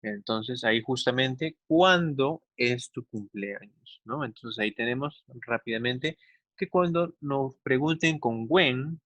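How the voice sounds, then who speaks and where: A man gives an instructional English lesson. His voice sits at a medium pitch in a dry recording with little room sound. He carefully stretches out the English examples and regularly tags his explanations with short checking questions. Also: fairly bright tone, no echo. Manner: didactic, slowly enunciates English examples, often seeks agreement with tag questions. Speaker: English teacher. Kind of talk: instructional online class for children.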